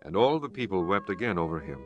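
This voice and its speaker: A man's voice: sits low, very animated, fast, highs cut off. A man narrating a dramatized scripture audiobook in a scripted, formal reading style. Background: instrumental music.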